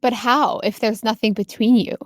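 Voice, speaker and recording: A woman's voice: on the high side, fairly even intonation, normal speed, no room sound, clean studio sound. A woman running a tabletop game as game master, improvising narration and descriptions for her players.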